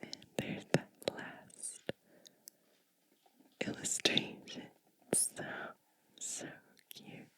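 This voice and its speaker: A woman reading a picture book aloud as ASMR in a breathy, gentle whisper, lingering on phrases and adding little asides. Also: drawn-out words, pauses often, no room sound.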